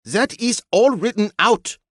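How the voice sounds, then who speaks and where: Shrill, haughty foreign designer. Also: male voice, animated, a little slow, fairly bright tone, no echo, studio quality, heavily acted. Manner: haughty, explosive, broken English. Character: temperamental male designer with foreign accent. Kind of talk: audiobook character voice.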